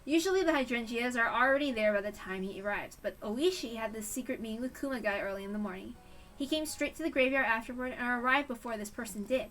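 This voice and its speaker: A woman's voice: sits high, fairly bright tone, far from the mic, a little hiss or hum. A woman reading a story aloud as an informal amateur narrator. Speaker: amateur reader of visual novel. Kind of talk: story read-aloud with character voices.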